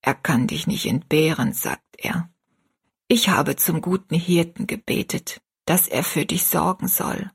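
A woman voicing a gravely ill, gentle and pious mother in a story, half-whispered, slow and earnest. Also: low-pitched, exaggerated voice. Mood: tender, weak.

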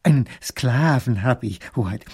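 A man voicing an obsequious ship captain who flatters with flowery courtly phrases. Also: medium pitch, a little slow, slightly rough, heavily acted. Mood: fawning.

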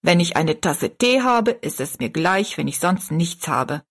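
A woman voicing a tiny, kindly old dwarf woman who fusses in a motherly, scolding way, with a husky voice. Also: fairly low pitch, expressive, a little slow. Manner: fussy, motherly, scolding fondly.

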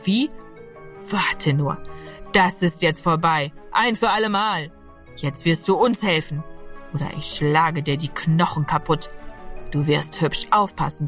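A woman voicing a brutal grown man who kidnaps a boy and talks to him in a threatening, sneering way. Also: fairly bright tone. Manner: threatening, sneering, low and close.

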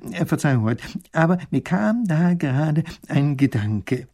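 Man doing a thoughtful old professor, slow and hesitant, bit higher voice. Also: big pitch swings, mellow. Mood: pondering. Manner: slow, pondering delivery with hesitations. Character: clever, impatient old male professor-minister.

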